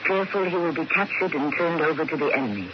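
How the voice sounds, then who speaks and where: A man hosting and narrating a radio drama, with grave, theatrical, deliberate phrasing. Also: fairly high pitch, expressive. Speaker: host and narrator. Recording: muffled, very noisy old radio recording.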